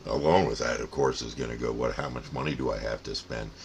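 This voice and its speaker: A man's voice: rumbling low, a little fast, vocal fry, slight background noise. An older man giving a casual, rambling, folksy explanation as a plain-spoken hobbyist host. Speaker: radio hobbyist and channel host.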